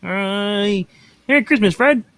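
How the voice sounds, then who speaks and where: A man's voice: sits high, slow, a bit bright, smooth, no room sound, heavily acted. A man playing a meek, dutiful office clerk in a radio-play reading, deferential and hesitant. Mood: timid. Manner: deferential, hesitant, mumbling to himself.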